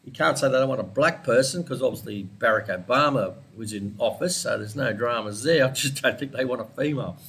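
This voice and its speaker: A man's voice: mid-range voice, fairly quick, few pauses, a bit bright. An Australian man with a congested head cold, chatting casually through a weekly forex trading analysis and rambling off on side topics. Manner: chatty, rambling, digressive, with small laughs.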